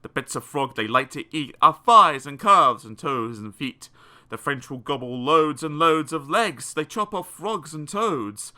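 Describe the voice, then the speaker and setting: Man for children's verse audiobook: expressive, theatrical, fairly high-pitched, strikingly bright and forceful. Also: unhurried. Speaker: audiobook reader. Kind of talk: dramatic children's verse narration.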